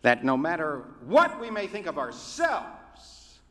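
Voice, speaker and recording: Slow, bright-voiced man preaching in a hall. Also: fairly high pitch, huge pitch swings. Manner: measured, oratorical, with deliberate pauses. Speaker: rabbi. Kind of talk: sermon. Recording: reverberant hall.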